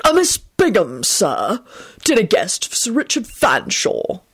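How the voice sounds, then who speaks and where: A woman's voice: medium pitch, wildly swooping pitch, unhurried, fairly bright tone, slightly rough, no echo, full character voice. A woman voicing a haughty butler in an audiobook, stiff and formal.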